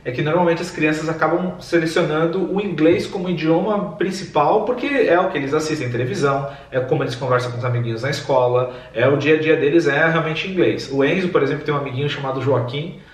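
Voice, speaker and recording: A Brazilian man hosting, asking lively questions in a chatty, relaxed way. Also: fairly high pitch, fast, few pauses, distant mic. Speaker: host, interviewer. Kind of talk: casual interview questions. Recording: echoey room, away from mic.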